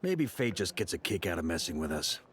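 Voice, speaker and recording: An actor playing a wry, jovial, authoritative middle-aged knight leader in a video game. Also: male voice, low-pitched, big pitch swings, a little fast. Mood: musing, rueful.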